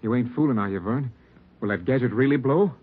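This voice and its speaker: An actor playing an uneasy, doubtful American prisoner with a husky voice, in a 1940s radio crime drama. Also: male voice, mid-range voice, a little fast, close to an everyday voice. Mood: wary. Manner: doubtful, questioning. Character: uneasy, doubtful male prisoner, bomber's brother. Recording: tinny, band-limited 1940s radio recording.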